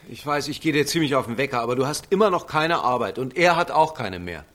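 A man playing a mild, fussy middle-aged father in a radio drama, apologetic and gently reproving. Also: mid-range voice, expressive, quick pace, natural voice. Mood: awkward concern.

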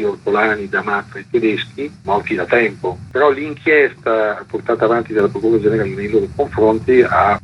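A man with a fairly low voice speaks as a journalist being interviewed. He explains things in an articulate, measured way and qualifies his points with care. The sound is slightly dull, with faint noise on the line. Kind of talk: explanatory radio interview answers. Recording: muffled, noisy radio line.

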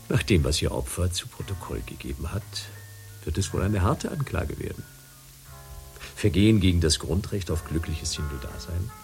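A low-voiced official who reels off long legal charges in a dry, bureaucratic way. Faint music sits under the radio play. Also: male voice. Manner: formal, listing, bureaucratic. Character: stern male official reading out charges.

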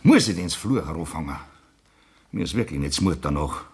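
An actor playing a gruff Bavarian man with a Bavarian accent who drives a sleigh through the snow in a radio play. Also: very deep voice, expressive, a little fast, exaggerated voice. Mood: stubborn. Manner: gruff, blunt, grumbling. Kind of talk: 1960s radio play acting.

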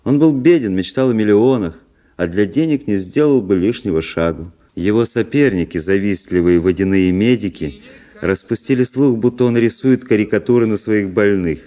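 An actor playing a cool, ironic, bored young officer in a radio play, speaking in a measured aristocratic way. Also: male voice, lowish voice, mellow, close to an everyday voice. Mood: reflective narration. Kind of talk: radio drama acting.

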